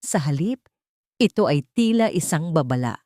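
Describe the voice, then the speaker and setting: A woman's voice: sits low, expressive, moderate pace, warm tone, no echo, clean studio sound. A woman narrating a scripted emotional story as a dramatic storyteller.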